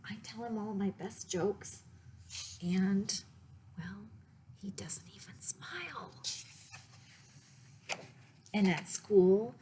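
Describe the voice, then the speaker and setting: Slow-paced woman reading a children's storybook. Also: mid-range voice, a little hiss or hum. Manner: deliberate, expressive, pausing to show the pictures. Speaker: librarian reading a picture book for story time. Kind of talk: read-aloud children's storytime, with asides to listeners.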